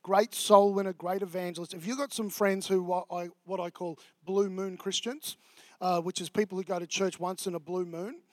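High-voiced Australian man preaching casually. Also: steady tone, moderate pace, a little mellow, no room sound. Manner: casual, chatty preaching with many fillers. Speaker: pastor. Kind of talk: sermon.

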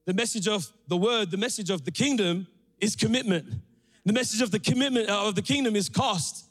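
Energetic male preacher from Sri Lanka, high voice, playful asides, church hall reverb. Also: fairly quick, slightly rough. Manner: energetic, joking, calls out to the crowd. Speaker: pastor. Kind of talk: sermon. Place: church auditorium.

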